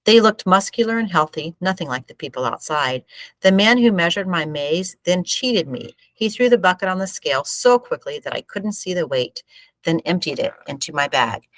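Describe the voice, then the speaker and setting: A woman reading a book aloud as expressive, measured storybook narration. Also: fairly low pitch, dry recording, poor audio.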